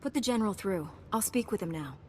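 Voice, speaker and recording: A woman playing a composed, resolute Jedi knight in a video game, calm, firm and dry. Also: mid-range voice, fast, slight background noise, natural voice. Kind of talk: video game cutscene acting. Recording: reverberant game audio, faint music.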